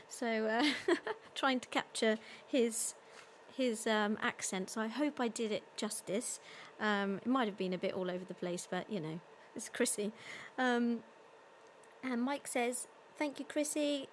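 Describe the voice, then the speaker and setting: A woman's voice: a little high, a little hiss or hum. A young woman, a singer hosting a live-streamed concert, chatting casually between songs, reading out viewer comments and retelling a story in a loose, searching way. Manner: chatty, reads out viewer comments and thanks them warmly.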